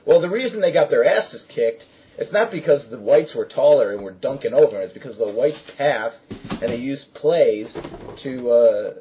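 A man with a husky voice giving opinions in casual, coarse and blunt live talk. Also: medium pitch, lively intonation, moderate pace, slight background noise. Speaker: talk show participant. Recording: dull lo-fi audio.

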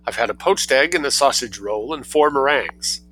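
A man's voice: medium pitch, animated, moderate pace, fairly bright tone, a little hiss or hum, pitched above the performer's own voice, lightly acted, poor audio. A man voicing a scheming little boy who sounds sweet but speaks in a cheeky, matter-of-fact way. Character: cunning angelic-seeming little boy.

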